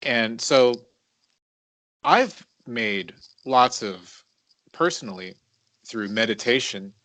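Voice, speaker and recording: A man in his mid-thirties talking as a podcast co-host in a reflective, confessional way, halting and deliberate, repeating words for emphasis. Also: mid-range voice, animated, sharp tone, no room sound, low-quality recording.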